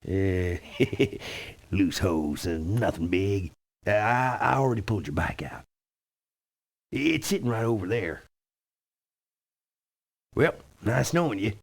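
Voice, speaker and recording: An actor playing a shady, folksy trucker and smuggler, with a gruff, cocky, colloquial way of talking. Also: male voice, lowish voice, animated, slow, pauses often, full character voice. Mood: evasive. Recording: loud music behind, game audio.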